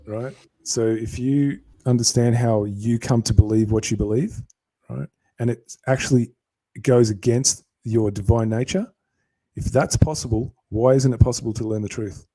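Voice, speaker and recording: Aussie man, husky mellow voice, lecturing on a live stream. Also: mid-range voice, steady tone, a little slow. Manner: lecturing, answers viewer comments.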